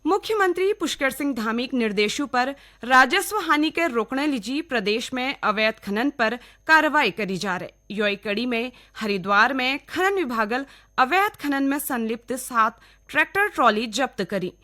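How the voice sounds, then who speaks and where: A woman's voice: sits high, lively intonation, moderate pace, bright, forceful tone. A woman with a Kumaoni accent reading scripted regional radio news. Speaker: newsreader.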